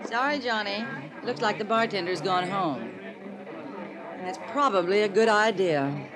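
A woman's voice: medium pitch, measured pace, lightly acted, rough recording. An actress playing a jaded, worldly American woman with a dry, self-mocking way of talking, in a classic radio drama. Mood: weary, resigned. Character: jaded, sardonic woman, a weary party regular. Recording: vintage radio recording, party chatter behind.

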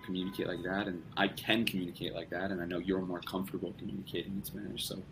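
A man's voice: sits low, flat delivery, quick pace, vocal fry, over music, low-quality recording. A young man as a podcast guest, talking casually in rambling sentences with many restarts. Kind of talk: casual conversation.

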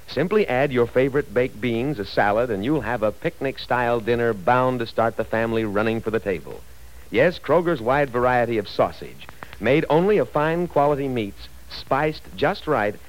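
A man's voice: medium pitch, low-quality recording. A man reading a radio commercial in a cheerful, persuasive 1940s style. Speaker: commercial announcer.

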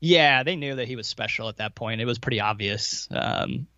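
A man's voice: mid-range voice, moderate pace, pushed and bright, no room sound. Casual American man, slightly muffled dry audio. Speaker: podcast guest, value investor running a fund. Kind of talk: casual conversational interview answers.

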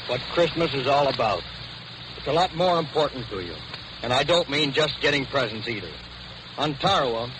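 A nineteen-year-old American man, a Marine, reading an earnest, patriotic scripted address in a 1940s radio broadcast. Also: medium pitch. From Wyoming, United States. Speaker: US Marine private. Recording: noisy vintage 1940s shortwave radio broadcast.

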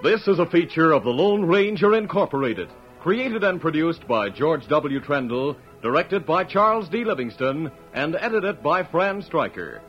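A man's voice: on the high side, sing-song, normal speed, few pauses, soft background music. A man narrating a scripted 1940s radio drama as announcer, formal and dramatic. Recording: dull vintage radio recording.

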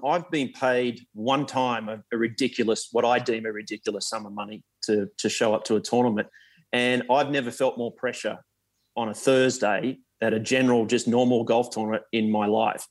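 A man who plays golf professionally, talking casually on a panel with many fillers and restarts. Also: medium pitch. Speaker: professional golfer.

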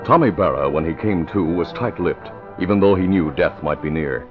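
A low male voice on a dull old radio recording, with faint music. He narrates a serial from a script, formally and with drama. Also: normal speed. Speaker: narrator and announcer.

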